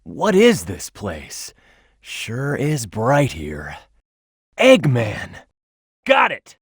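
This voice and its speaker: A tough young male echidna hero from a video game, cocky and quick to flare up. The pitch swings very widely in an emphatic delivery. The recording is close and dry, with no room sound. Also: wildly swooping pitch, slightly rough, dry recording, full character voice. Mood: wary, grumbling, then excited, urgent. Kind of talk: video game character voice lines, tutorial hints and battle shouts.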